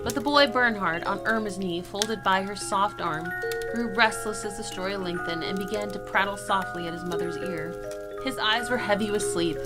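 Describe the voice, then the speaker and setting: Low-voiced woman narrating over music. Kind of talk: dramatic storybook narration.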